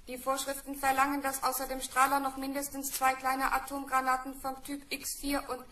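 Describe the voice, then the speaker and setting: A woman's voice: sits high, completely flat delivery, normal speed, pushed and bright. A woman playing a dutiful, rule-bound female robot in a vintage science fiction radio play, speaking stiffly and formally. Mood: stiff, obedient. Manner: stiff, formal, literal-minded. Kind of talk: vintage German sci-fi radio play acting. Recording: echoey old radio play recording.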